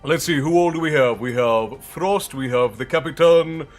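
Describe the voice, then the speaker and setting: A man's voice: on the high side, normal speed. A man hosting a livestream in casual, joking chat, greeting viewers by name, still a bit congested. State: congested, with a bit of a chesty cough. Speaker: livestream host. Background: soft background music.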